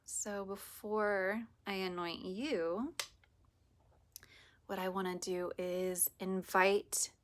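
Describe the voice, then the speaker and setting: A woman with a raspy, hoarse voice after a long day, talking softly and meandering into whispers as she runs a casual spiritual vlog. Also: medium pitch, level tone, extremely slow. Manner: soft, meandering, often drops to a whisper. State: hoarse from teaching all day. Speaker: reiki practitioner.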